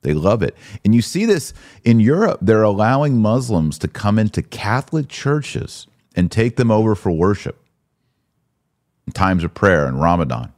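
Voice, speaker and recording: A man's voice: on the low side, very animated, moderate pace, darkish tone, dry recording, studio quality. A man hosting a conversational religious podcast, informal, using rhetorical questions and repeating phrases for emphasis. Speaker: podcast host.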